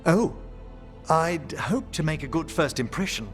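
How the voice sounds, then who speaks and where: An actor playing a gentle, earnest scholar of necromancy in a video game, speaking formally and precisely. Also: male voice, fairly high pitch, lively intonation, measured pace, soft background music. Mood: politely anxious. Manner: formal, precise, eloquent speech. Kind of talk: video game character acting.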